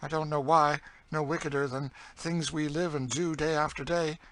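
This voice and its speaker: Guy playing a worn-out bitter woman, pitched up, dry n hard emphasis, hopeless, audiobook drama. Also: steady tone, moderate pace, fairly bright tone, a little hiss or hum, lightly acted. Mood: despairing. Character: bitter, despairing, world-weary older enslaved woman. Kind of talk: dramatised audiobook reading of a classic novel.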